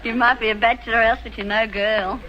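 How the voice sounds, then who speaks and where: Bossy, scoffing Australian spinster sister played by an actress, noisy old recording. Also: female voice, a little high, fairly even intonation, brisk, lo-fi sound, natural voice. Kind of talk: old-time radio comedy acting.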